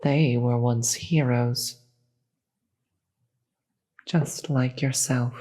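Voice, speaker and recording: A performer voicing a regal, solemn demon queen in a fantasy audio roleplay, speaking formally and gravely in a breathy voice that often drops into a whisper. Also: medium pitch, fairly even intonation, dark tone. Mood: wistful, solemn. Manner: slow, formal, measured, grave.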